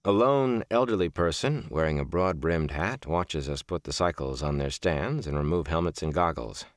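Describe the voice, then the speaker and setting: A man reading a first-person literary book as a narrator, with measured, deliberate phrasing and emphatic stresses. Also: a bass voice, no room sound, studio quality. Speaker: audiobook narrator. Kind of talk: scripted audiobook narration of a reflective first-person memoir novel.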